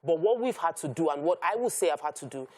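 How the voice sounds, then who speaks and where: Lower-voiced Nigerian woman on a panel. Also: lively intonation, fast talker, dark tone, slight background noise. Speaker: talk show panelist. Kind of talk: conversational opinion.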